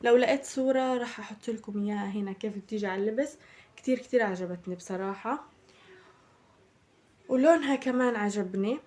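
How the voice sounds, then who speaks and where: Hoarse young Palestinian woman vlogger. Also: on the high side, fast talker, a little mellow. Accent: Palestinian Arabic. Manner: chatty, addresses viewers as girls. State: slightly hoarse from a cold. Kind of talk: casual shopping haul chat.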